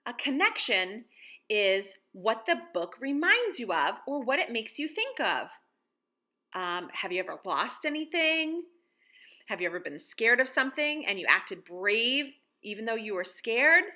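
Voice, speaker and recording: Lady teacher in her 30s talking to little kids at home, really lively pitch, encouraging, simple questions. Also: measured pace. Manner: encouraging, addressing children directly with simple questions. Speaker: elementary school teacher. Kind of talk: children's read-aloud lesson. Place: room at home.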